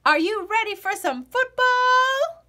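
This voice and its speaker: A woman voicing an excited nine-year-old boy who is eager to play football, calling out his lines with eager exclamations. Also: extremely high pitch, slow, pitched above the performer's own voice, heavily acted.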